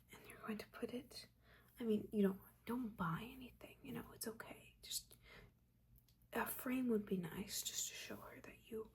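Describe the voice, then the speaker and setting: A woman voicing a caring, judging witch mentor in a soft, breathy whisper, gently and haltingly giving guidance in an ASMR roleplay. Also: medium pitch, natural voice. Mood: instructive. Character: older witch mentor, motherly, judging yet caring teacher.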